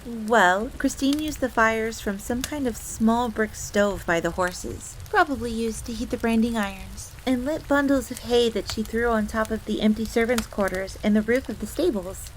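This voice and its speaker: A woman voicing an excitable, devoted fox maid who tells her story theatrically in the third person. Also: on the high side, moderate pace, few pauses, slight background noise, close to an everyday voice, poor audio. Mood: devoted, proud. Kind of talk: in-character storytelling audio roleplay. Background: background ambience.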